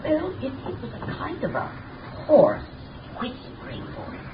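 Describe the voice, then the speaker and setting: A nervous stammering housekeeper. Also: female voice, sits high, huge pitch swings, a little slow, heavily acted. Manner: hesitant, stammering, deferential. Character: nervous middle-aged female housekeeper. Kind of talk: 1930s radio drama acting. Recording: muffled noisy 1930s radio recording from a distance.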